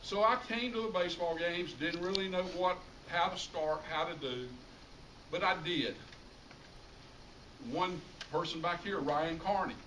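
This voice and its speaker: A middle-aged American man delivers an informal tribute speech in a voice pitched a little high. He leans on key phrases and repeats them for emphasis. The sound is strongly echoey and picked up at some distance, with clear background noise. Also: measured pace. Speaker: high school public address announcer giving a tribute speech. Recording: roomy, distant mic, clearly noisy ambience.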